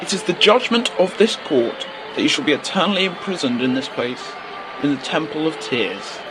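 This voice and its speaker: A man's voice: a little high, animated, moderate pace, over a noisy crowd, heavy background noise, natural voice. A man playing a stern, merciless judge in an audio drama, speaking formally and declaiming each sentence. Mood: cold, solemn. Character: stern, merciless male judge of an alien court.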